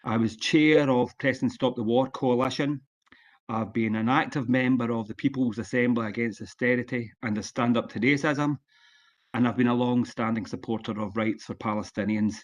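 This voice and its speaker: A Scottish man in his sixties giving an online conference lecture as a guest speaker, plain-spoken and relaxed, with frequent ums and uhs. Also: medium pitch, brisk. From Scotland. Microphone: video call.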